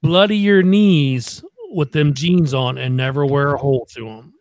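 A man's voice: fairly high pitch, measured pace, a little mellow. A man in casual comedic podcast banter, teasing in a drawling, put-on Southern voice. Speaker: podcast co-host.

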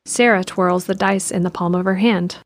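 A woman narrating an audio drama in a calm, measured way. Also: on the low side, gravelly, no echo.

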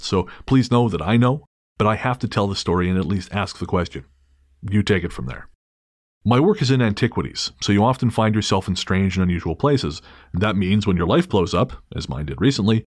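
A man's voice: on the low side, no room sound. A man hosting a podcast, chatting casually and with dry humor, sometimes reading a listener's story aloud. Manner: wry, joking, hesitant restarts. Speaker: podcast host.

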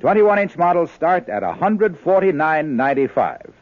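High, animated American radio ad announcer, male. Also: normal speed, no room sound. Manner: polished, emphatic salesmanship. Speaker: commercial announcer. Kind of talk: 1950s radio advertisement narration. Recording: dry, muffled old radio recording.

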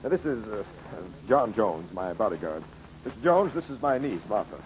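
Americano rico e autoritário, voz grave. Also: male voice, fairly low pitch, sing-song, moderate pace. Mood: uneasy, formal. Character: wealthy, domineering, uneasy uncle under death threats. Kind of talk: 1940s radio drama acting. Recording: muffled, hissy old radio recording.

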